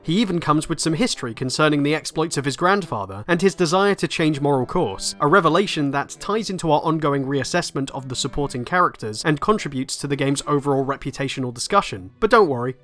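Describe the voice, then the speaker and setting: A man narrates a video essay in a slightly high voice, with faint music behind him. His delivery is scripted and analytical, articulate and reflective, with measured phrasing. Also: expressive, a bit bright.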